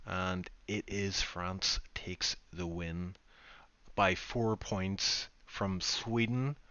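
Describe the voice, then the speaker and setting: A man's voice: low-pitched, steady tone, very slow, pushed and bright, clear, resonant tone, no echo. A man hosting a racing league stream, chatting loosely and poking fun at himself. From United Kingdom. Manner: chatty, rambling, self-deprecating. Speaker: league race broadcast host. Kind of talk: casual post-race commentary. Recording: dull lo-fi stream audio.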